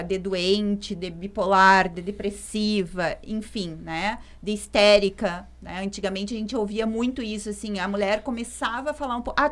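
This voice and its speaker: Woman psychologist, discursive. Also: mid-range voice. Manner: thoughtful, many fillers like "né" and "assim". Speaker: psychologist guest. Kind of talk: podcast discussion.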